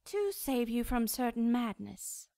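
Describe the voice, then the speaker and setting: High-voiced, imperious cherub. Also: female voice, big pitch swings, gravelly, warm tone, no room sound, clean studio sound, heavily acted. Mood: cold, detached. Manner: formal, stately, measured phrasing. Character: cherub, powerful angel, cold, haughty and imperious. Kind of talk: radio drama acting.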